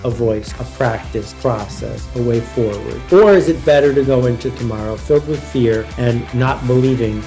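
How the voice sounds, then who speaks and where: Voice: medium pitch, moderate pace, few pauses, mellow, faint music behind. A speaker posing reflective questions in a short spoken clip.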